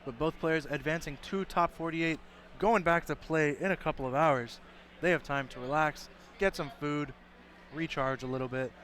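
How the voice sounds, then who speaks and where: A man commentating an esports tournament in casual, upbeat chat, his voice slightly hoarse from cheering. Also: on the high side, fairly bright tone, a little hiss or hum. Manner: chatty and upbeat, quick rambling asides.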